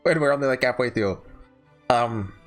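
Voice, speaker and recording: A man hosting a casual, unscripted ranking video, talking in a rambling, halting way and often correcting himself. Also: mid-range voice, soft background music. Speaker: video host ranking visual novels. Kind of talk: casual unscripted tier-list commentary.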